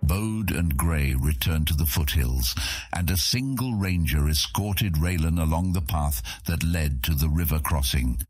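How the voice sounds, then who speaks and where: A man narrating a scripted fantasy audiobook in a measured storytelling manner. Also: rumbling low, darkish tone, husky.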